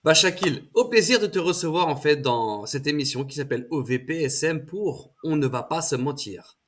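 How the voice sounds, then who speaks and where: Husky-voiced male interview host. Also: a little high, lively intonation, normal speed. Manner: friendly, direct questioning. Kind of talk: casual interview chat.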